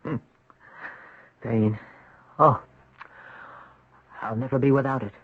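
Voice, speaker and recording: A man's voice: mid-range voice, fairly even intonation, takes it slowly, husky, no room sound. An actor playing a haunted, pained young man with a brooding, terse manner in a 1970s radio drama. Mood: pained, weary. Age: young adult. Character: haunted, pained young man telling his troubled story. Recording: dull, dry old radio recording.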